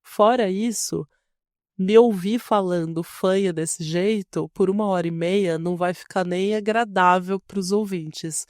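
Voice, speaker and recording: A nasal, congested woman chats as a podcast co-host while nursing a cold and a sore throat. She jokes and laughs freely. Her voice sits at an ordinary pitch in a dry, close-miked recording. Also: fairly even intonation, unhurried, a little mellow, no room sound. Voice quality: nasal, stuffy-nosed. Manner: joking, laughs often. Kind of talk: casual chat.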